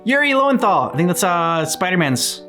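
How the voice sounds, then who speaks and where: A man giving casual reaction commentary in a halting way, thinking aloud. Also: high voice, sing-song, sharp tone. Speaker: reaction channel host. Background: faint game music.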